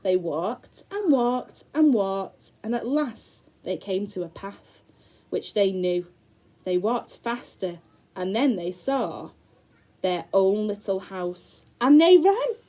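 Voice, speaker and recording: A woman teacher reading a fairy tale aloud to young children, slow and dramatic with gasps. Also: mid-range voice, animated, mellow. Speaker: primary school teacher.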